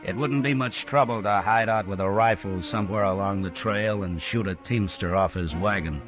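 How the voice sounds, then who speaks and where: Male actor as Old West marshal, low voice, American accent, stern, terse, watchful, vintage radio drama. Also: moderate pace, music in the background, natural voice. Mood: dry, reflective. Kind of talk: old-time radio western acting and narration.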